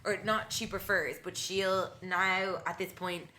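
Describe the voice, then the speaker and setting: A woman's voice: lowish voice, flat delivery, measured pace, pushed and bright. A woman co-hosting a casual podcast, chatting informally and inquisitively. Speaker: podcast co-host. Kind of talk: casual chat.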